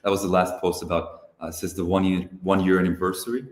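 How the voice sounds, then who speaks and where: A young man from Turkey, a software founder, talking casually as a guest on a live video call, relaxed and hesitant with many fillers. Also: low-pitched, fast talker, roomy sound. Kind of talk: casual interview over a live stream.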